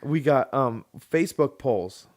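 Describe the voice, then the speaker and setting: A man chatting as a podcast host in a medium-pitched voice. His delivery is casual and halting, with a dry, joking streak as he moves between segments. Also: lively intonation, a little mellow.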